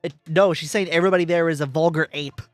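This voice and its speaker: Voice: medium pitch, fairly even intonation, fast, no room sound, faint music behind. A streamer giving casual, irreverent commentary on a game, joking in loose slang.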